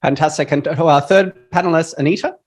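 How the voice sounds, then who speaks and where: Man, panel moderator, slightly high voice, friendly, many ums, video call. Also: a little fast. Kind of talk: webinar panel hosting.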